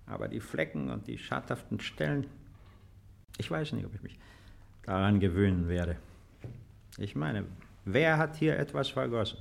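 An actor playing an uneasy, nostalgic displaced farmer in a 1950s German radio play, musing and hesitant. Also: male voice, medium pitch, expressive, moderate pace, vocal fry, pauses often, a little hiss or hum. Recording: faintly dated 1950s radio recording.

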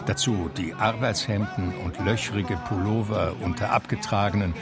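An actor playing an aging man who recounts his memoir in a measured, reflective literary manner for a radio drama. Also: lowish voice, fairly even intonation, over loud music, close to an everyday voice. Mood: reminiscing. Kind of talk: literary first-person radio drama narration.

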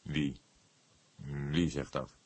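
A male narrator plays a grey old detective in a crime story. The voice is low and husky, measured and solemn, with a slyly polite calm. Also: heavily acted. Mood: amused calm. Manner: measured, solemn, feigned innocence, hesitant pauses.

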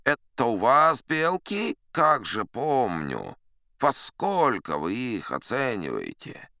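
A man's voice: mid-range voice, huge pitch swings, slow pace, gravelly, sharp tone, no room sound, clean studio sound, pitched above the performer's own voice, heavily acted. A shrewd, smug merchant from an adventure story, acted by a male narrator. His voice sits raised and slides around melodically, clean and insinuating as he coaxes his listener toward a bargain.

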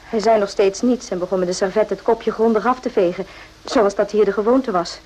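A woman playing a guarded, correct doctor in a radio play, speaking in a measured, formal way. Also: medium pitch, steady tone, lightly acted. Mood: uneasy. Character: uneasy, firm female army doctor, correct and guarded. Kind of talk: radio drama acting with narration. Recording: noisy old radio play recording.

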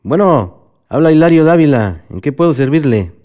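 An actor playing a middle-aged Mexican father in a radio soap, measured, patient and polite. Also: on the high side, expressive, heavy background noise, natural voice. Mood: polite, reserved. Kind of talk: radio soap opera dialogue.

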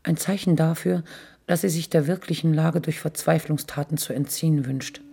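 Female radio play narrator: dark, creaky, husky, sober and wryly detached literary reading. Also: sits low, fairly even intonation, normal speed, slight background noise. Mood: sober, ironic. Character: detached, wry literary narrator of a girl's wartime youth.

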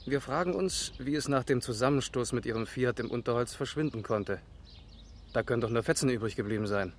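Actor as a wry police lieutenant, noisy background. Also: male voice, mid-range voice, a little fast, lightly acted. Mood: probing, wry. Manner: casually probing, wry. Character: male police first lieutenant, probing, unhurried, wry. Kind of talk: naturalistic 1970s German radio crime drama acting.

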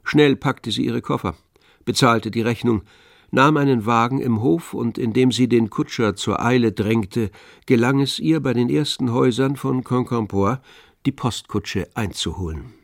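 A man narrating a literary radio drama in a measured, composed storytelling manner. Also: medium pitch, vocal fry, dry recording, studio quality. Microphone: close, dry studio microphone.

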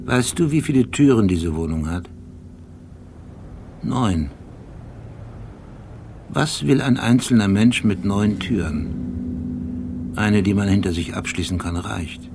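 An actor playing an obsessive, brooding middle-aged police detective with a gravelly voice, telling his memories deliberately in a radio play. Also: fairly low pitch, darkish tone, a little hiss or hum. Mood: explaining, obsessive. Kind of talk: radio play acting, intimate monologue.

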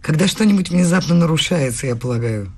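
A woman acting in a radio play as an eighteen-year-old philosophy student, eager, nervous and deferential, with a husky voice. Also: deep voice, animated, fast talker, a little mellow, exaggerated voice. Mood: earnest, admiring. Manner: earnest, flustered, deferential.

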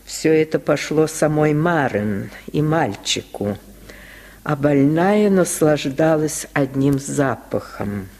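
A man narrating a literary tale for radio as a storyteller, in a measured, expressive, dramatic style. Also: fairly high pitch.

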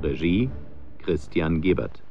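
Deep, gravelly male radio announcer. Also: slow pace. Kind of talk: scripted title and credits announcement. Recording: slightly dull radio recording over music. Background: clear music.

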